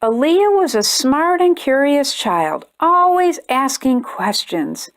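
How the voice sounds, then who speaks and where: A woman hosting a children's storytime, reading a picture book aloud with expressive, sing-song storytelling. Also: high voice, slow. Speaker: storytime host.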